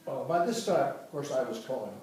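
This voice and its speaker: A man's voice: medium pitch, expressive, a little mellow, a little hiss or hum. Older man reminiscing, far from the mic. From Dearborn, Michigan. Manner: halting, restarts and corrects himself on dates. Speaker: interviewee recounting family history. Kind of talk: casual family reminiscence. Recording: roomy, distant home recording.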